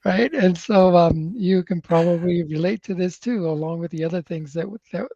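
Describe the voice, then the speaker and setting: A man from Chile who grew up in the United States, a native Spanish speaker, talking reflectively as a podcast guest with frequent pauses. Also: high voice, mellow, no room sound. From born in Chile, grew up in the United States. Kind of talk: conversational personal storytelling. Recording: dull, slightly lo-fi dry microphone.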